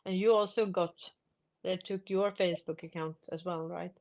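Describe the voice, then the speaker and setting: A woman from Sweden with a slightly low voice and a Swedish accent. She is a native Swedish speaker hosting a livestream, talking off the cuff and often restarting as she explains. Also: steady tone, moderate pace, a little mellow. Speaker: live stream host. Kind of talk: casual unscripted livestream discussion.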